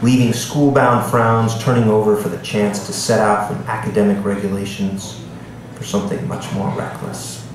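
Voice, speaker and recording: Low-voiced man in a very echoey room. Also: level tone, normal speed. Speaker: author reading from own novel at a bookstore. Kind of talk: live literary reading with casual asides to audience. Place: indoor bookstore reading before an audience. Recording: echoey room, distant mic, background noise.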